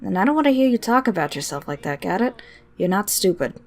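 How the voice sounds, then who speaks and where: A woman voicing a confident, teasing female shopkeeper, blunt and dry with a soft heart, in a story reading. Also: medium pitch, very animated, fast, pitched above the performer's own voice. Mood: tender, firm.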